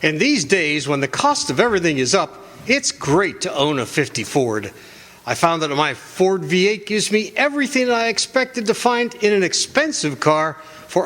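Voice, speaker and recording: Man acting as a thrifty car owner, lively, echoey hall. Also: fairly high pitch. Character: satisfied male car owner giving a thrifty testimonial. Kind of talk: 1950s radio commercial testimonial acting. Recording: echoey hall, live stage performance.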